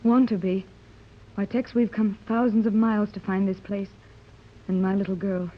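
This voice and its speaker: A woman's voice: medium pitch, flat delivery, moderate pace, lightly acted. An actress playing an anxious but determined well-to-do mother in a 1930s radio serial, urgent and questioning in a theatrical way. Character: anxious, determined wealthy mother searching for her daughter. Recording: muffled, noisy 1930s radio recording.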